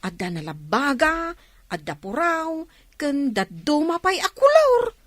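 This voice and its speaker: A woman's voice: sits high, big pitch swings, measured pace, no echo. A woman playing a kindly, chatty farmhouse housekeeper in an audio drama. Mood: delighted, curious.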